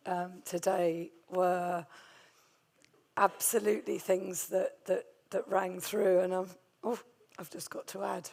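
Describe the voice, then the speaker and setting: A middle-aged woman with a hoarse, gravelly voice from a vocal cord nodule, giving a conference talk on hospital infection control with many hesitant ums and a friendly, self-deprecating manner. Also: medium pitch, darkish tone. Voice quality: gravelly, strained voice. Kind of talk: conference presentation.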